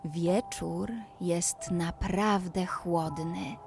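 Female bedtime-story narrator, soothing and gentle, extremely slow delivery for guided relaxation, over prominent background music. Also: on the low side, fairly even intonation, vocal fry. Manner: soothing, gentle, addressing a child listener.

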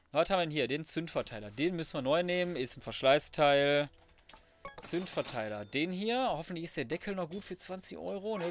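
A man's voice: on the high side, slight background noise. A man giving casual let's play commentary, colloquial and mildly sweary, often muttering to himself. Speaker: gaming video host.

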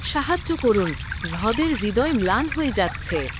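Man as a high-voiced magical silver fish. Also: big pitch swings, moderate pace. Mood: sad, pleading. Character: sad silver magic fish with a fading heart. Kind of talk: children's cartoon character dialogue. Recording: loud watery noise behind.

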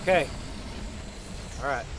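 A man's voice: slow, background noise. A man improvising first-person video game roleplay in an emotional, dramatic way, repeating short exclamations. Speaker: gaming video creator.

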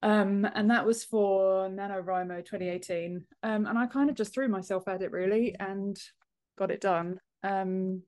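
A woman's voice: mid-range voice, steady tone, normal speed, a little mellow. A woman in her thirties with an English accent, chatting informally and modestly as a podcast guest on a video call. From Britain. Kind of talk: casual interview chat. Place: home office. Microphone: wired earphones on a video call.

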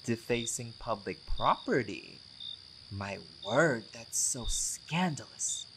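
A man's voice: mid-range voice, lively intonation, slow, background noise. A man playing a laid-back, charming, centuries-old vampire who teases and jokes casually with a friend in an audio roleplay. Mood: easygoing, intrigued. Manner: joking, teasing, often chuckling.

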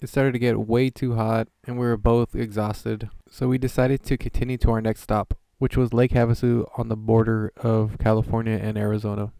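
A man's voice: lowish voice, level tone, normal speed, vocal fry, hardly any pauses, dark tone, dry recording. A young adult man giving scripted voice-over narration as a travel vlogger.

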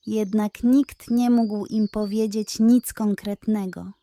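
Calm woman telling a bedtime story. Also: mid-range voice, slow pace.

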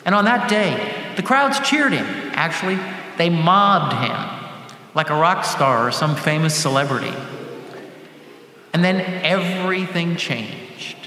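An older man preaching a sermon as a deacon, speaking deliberately in short phrases with dramatic pauses. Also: on the high side, very animated, sharp tone. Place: large church sanctuary, at the lectern. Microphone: gooseneck lectern microphone. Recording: echoey church hall with faint background noise.

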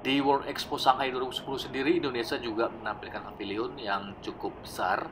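A man narrating his old travel footage in a relaxed, explanatory voice-over, often pausing with fillers. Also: mid-range voice, background noise. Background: background music.

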